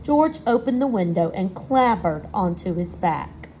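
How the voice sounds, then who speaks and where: Unhurried woman reading a children's storybook aloud. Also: mid-range voice, slight background noise, poor audio. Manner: expressive storytelling, pausing to ask listeners questions. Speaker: storybook reader. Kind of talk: children's picture book read-aloud with asides to young listeners.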